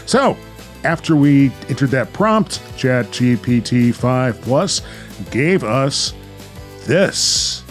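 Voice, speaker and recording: A man's voice: medium pitch, lively intonation, slow pace, faint music behind. An American man hosting a podcast, chatting casually and narrating. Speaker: podcast host.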